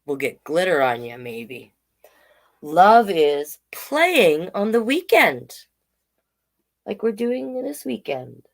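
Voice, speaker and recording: Slow, low-voiced woman reading stories. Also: big pitch swings, gravelly. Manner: slow, deliberate read-aloud delivery with chatty asides. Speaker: drag king storytime host. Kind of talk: children's story reading with casual chat to online viewers.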